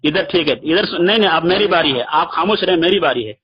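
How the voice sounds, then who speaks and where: A man's voice: a little high, lively intonation, a little fast, a crowd in the background. A man debating religion on a phone call, arguing insistently and repeating his points in formal religious vocabulary. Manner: insistent, argumentative, repeats himself. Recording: dull lo-fi phone call recording.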